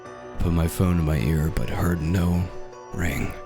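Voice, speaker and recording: A man reads a creepypasta in a very deep voice with a gravelly edge. His delivery is measured and dramatic, built for dread. Dark music plays clearly behind him. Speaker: horror story narrator. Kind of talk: scripted creepypasta narration in the first person. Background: dark background music.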